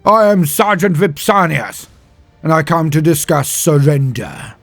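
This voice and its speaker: A man voicing a stern, terse space marine sergeant with a loud, formal military delivery for a sci-fi story. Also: a little high, expressive, unhurried, no room sound, voice pushed up, full character voice. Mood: firm, defiant. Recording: music under the voice.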